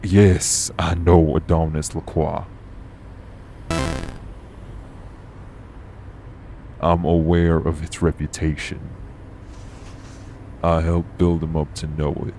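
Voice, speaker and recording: A man acting in an urban fantasy audio roleplay as a gruff, guarded, world-weary supernatural man with a shady past. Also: extremely low pitch, level tone, unhurried, mellow, a little hiss or hum, exaggerated voice. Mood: irritated. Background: vehicle on the road.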